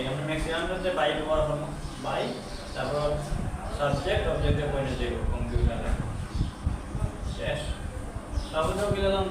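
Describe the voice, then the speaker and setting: Bangladeshi guy teacher, slightly high voice, really echoey and off the mic, asks and answers his own questions. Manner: didactic, asks and answers own questions, frequent check-in tags. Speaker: English grammar teacher. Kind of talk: classroom lesson explanation. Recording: echoey room, distant mic, loud background noise.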